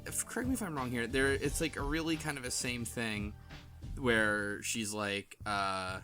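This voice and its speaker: A man's voice: medium pitch, moderate pace. Joking man in casual chat over music. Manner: loose, joking, swears and laughs. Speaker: podcast host. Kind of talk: casual chat recapping a visual novel. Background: game music.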